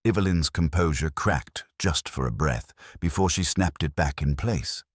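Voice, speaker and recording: A man's voice: rumbling low, a little slow, breathy edge, no echo, clean studio sound. A man narrating a detective story as an audiobook, in a dramatic scripted style.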